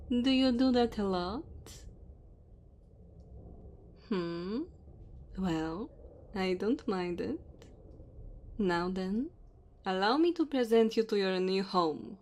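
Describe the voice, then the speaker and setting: A woman's voice: medium pitch, lively intonation, slow, pauses often, darkish tone. A woman voicing a dominant, teasing immortal dragon woman in an ASMR roleplay, haughty and speaking straight to the listener. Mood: playful teasing. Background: cave ambience and fire sound effects.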